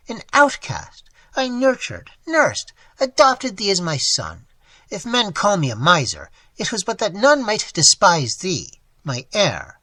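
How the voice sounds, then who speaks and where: A reader voicing a frail old male miser who cries out in high, shaking outbursts. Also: bright, forceful tone, slightly rough, no room sound, exaggerated voice. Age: over 60.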